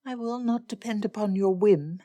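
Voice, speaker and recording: Woman acting a spirited, emphatic young gentlewoman, raised pitch. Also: normal speed, dry recording, highs cut off, lightly acted. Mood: wary, firm. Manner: emphatic, impassioned, formal period phrasing. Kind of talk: audiobook period romance dialogue.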